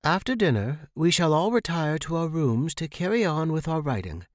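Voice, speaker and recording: A man voicing a stern, bossy landlady with a loud, commanding manner in a horror story. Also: on the high side, sing-song, normal speed, no echo, clean studio sound, voice pushed up. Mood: firm, commanding. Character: stern, bossy woman running a writers' house.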